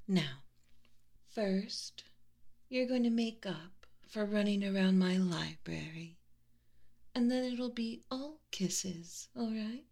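A woman playing a whispering, teasing tailed spirit. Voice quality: soft whispery voice with frequent low laughs. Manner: mocking, playful, commanding. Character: teasing dominant female tailed spirit, keeper of a library. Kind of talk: whispered audio roleplay.

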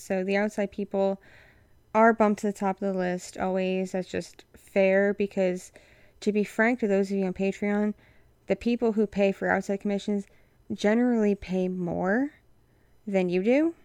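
A young woman with a froggy, hoarse voice from an infection, giving a rambling, frank update to her supporters. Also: medium pitch, darkish tone, no room sound. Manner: rambling, frank, many fillers. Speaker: content creator addressing patrons.

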